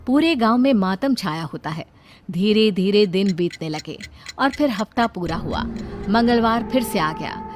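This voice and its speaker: A woman's voice: medium pitch, animated, measured pace, a little hiss or hum. A woman giving a scripted narration of a story.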